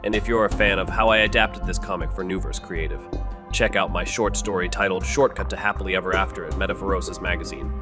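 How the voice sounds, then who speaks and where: Low-pitched male narrator over music. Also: fairly even intonation, fast talker. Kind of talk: scripted audio drama narration.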